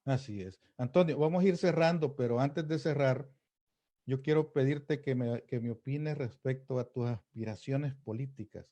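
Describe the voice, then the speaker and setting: A man's voice: medium pitch, normal speed, warm tone. A man with a Salvadoran Spanish accent hosting a political interview, asking informal, conversational follow-up questions. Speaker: host, interviewer.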